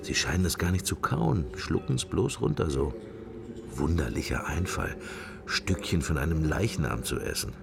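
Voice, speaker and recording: A deep, gravelly, wry sceptic. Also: male voice, expressive, normal speed, background noise, full character voice. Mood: curious, irreverent. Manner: wry, musing, clipped fragments. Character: wry, musing male sceptic, inner thoughts while observing church. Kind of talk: radio drama interior monologue.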